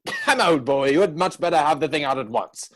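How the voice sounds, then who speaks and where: A man's voice: on the high side, big pitch swings, a little fast. A man playing a witty, idle young dandy who pries playfully in arch, formally phrased banter, in a dramatic reading of a Victorian stage comedy. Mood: prying, insistent. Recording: home recording over video call.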